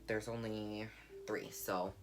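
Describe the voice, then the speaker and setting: A young woman with a deep, warm voice, speaking candidly and reflectively in a personal vlog. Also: little pitch movement, takes it slowly. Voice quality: deep, low voice; warm, comforting. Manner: candid, reflective, soft-spoken. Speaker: vlogger. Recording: guitar music playing underneath. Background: guitar music.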